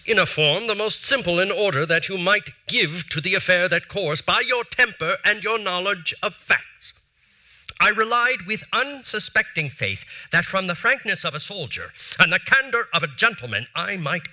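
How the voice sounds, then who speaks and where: An actor in his forties reading letters as a proud, aggrieved statesman, in formal, measured, stiffly offended speech on a theater stage. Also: sits high, lively intonation, pushed and bright, heavily acted, low-quality recording. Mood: indignant. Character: proud aggrieved male statesman demanding satisfaction.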